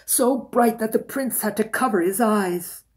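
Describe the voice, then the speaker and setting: Older man, slow dramatic storyteller. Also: high-pitched. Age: older, 60s. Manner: deliberate, repeats phrases for effect. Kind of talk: dramatic oral folktale storytelling. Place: small room indoors by a window.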